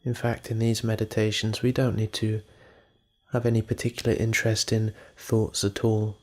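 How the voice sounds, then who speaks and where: A man leading a guided meditation in a calm, measured manner, leaving pauses between phrases. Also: on the low side.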